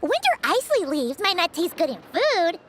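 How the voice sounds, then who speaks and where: Casting: a tiny boastful fairy sidekick, very high, bouncy delivery, game music under it. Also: female voice, normal speed, very noisy. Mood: eager. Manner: excitable, chirpy, talks of herself in third person. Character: tiny floating female fairy companion, bubbly and boastful. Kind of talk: video game cutscene dialogue.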